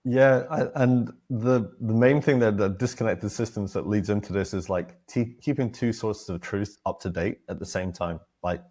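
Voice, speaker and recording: A man from Australia, a webinar guest, sounding croaky in the early morning, friendly and quick to laugh, speaking over a video call. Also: lowish voice, darkish tone. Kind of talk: casual webinar chat.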